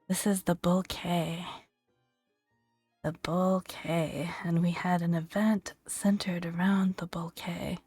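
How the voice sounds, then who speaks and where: A woman speaking softly and breathily in a gentle, playful ASMR style, often dropping into a whisper as she reads and comments. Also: lowish voice, husky, dry recording. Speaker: gaming video host. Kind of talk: soft-spoken ASMR, reading creature entries with casual commentary.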